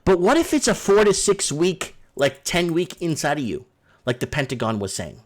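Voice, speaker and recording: A man with a fairly high voice hosts a casual livestream. He rambles, repeats phrases and talks straight to his viewers in a chatty, informal way. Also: big pitch swings, normal speed. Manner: rambling, repetitive, addresses viewers as guys. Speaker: livestream host. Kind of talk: casual live call-in chat.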